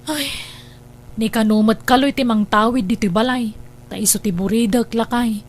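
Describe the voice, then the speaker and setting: Babai nga agak-akem iti napinget a balasang nga anak, natinis. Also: female voice, on the high side, steady tone, moderate pace, natural voice. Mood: insistent. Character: pushy, excitable married daughter wanting the inheritance. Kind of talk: Ilocano radio drama acting.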